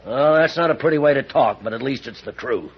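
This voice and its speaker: Man acting greedy older western rancher, American accent, folksy drawl, medium pitch, faint hiss, old radio drama. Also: fairly quick, natural voice. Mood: suspicious, greedy. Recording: muffled, noisy old radio recording.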